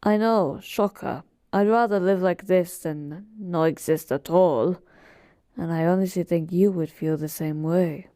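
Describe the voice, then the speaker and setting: A woman playing a polite, weary genie bound to serve, speaking in a soft, measured, wistful way in an intimate audio roleplay. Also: fairly low pitch, no echo, clean studio sound, lightly acted. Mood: somber, resigned. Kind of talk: slow, intimate roleplay monologue to a listener.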